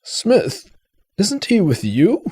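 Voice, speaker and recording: A man playing an injured, frail doctor in an amateur radio play, speaking formally and haltingly. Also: sits high, huge pitch swings, dark tone, exaggerated voice. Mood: polite, weakened. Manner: formal, halting, throat-clearing. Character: injured doctor, frail and apologetic, politely evasive. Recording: clearly noisy microphone feed.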